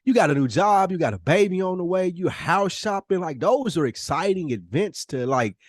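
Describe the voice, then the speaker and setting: American man, lively pitch, chatting casually. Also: normal speed, dry recording, studio quality. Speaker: podcast co-host. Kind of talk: casual conversational chat.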